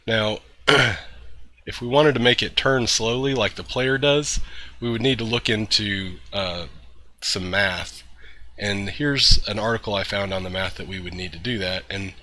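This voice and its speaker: A man hosting a programming tutorial, a little congested from a cold, talking through code steps in a relaxed way with fillers and throat-clearing. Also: lowish voice, measured pace. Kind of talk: screen-recorded coding tutorial.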